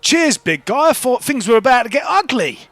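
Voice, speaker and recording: A man's voice: very high-pitched, sing-song, moderate pace, a bit bright, pitched above the performer's own voice, exaggerated voice. A man voicing an aggressive, sweary, matey shopkeeper in a tabletop game. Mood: grateful, chummy. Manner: indignant, blustering, sweary. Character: aggressive, foul-mouthed male shopkeeper, shouting and matey.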